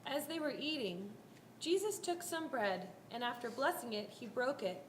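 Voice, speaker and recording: A woman's voice: high voice, lively intonation, normal speed. A woman narrating a scripted scripture story as part of a reader's theater performance. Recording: echoey room, faint background noise.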